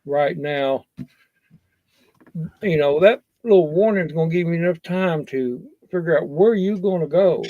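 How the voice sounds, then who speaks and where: A man speaks in a folksy, plain-spoken way, a little higher in pitch than most men. He rambles casually but earnestly, as in an informal safety chat. The recording is dull and somewhat lo-fi. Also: normal speed, dry recording. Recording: dull, lo-fi sound.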